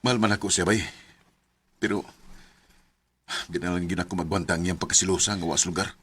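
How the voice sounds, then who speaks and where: Frustrated young lover, low pitch, bright edge, Cebuano accent, earnest radio drama. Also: male voice, steady tone, fairly quick, a little hiss or hum. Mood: weary, conflicted. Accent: Cebuano Filipino. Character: frustrated young man in love, later tender and dutiful.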